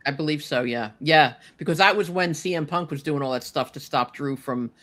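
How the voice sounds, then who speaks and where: A woman's voice: sits low, a little fast, a bit bright. A woman in her late sixties, hosting a casual chat podcast from her kitchen, chatty and teasing, laughing easily. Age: 60s. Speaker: podcast host. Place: home kitchen.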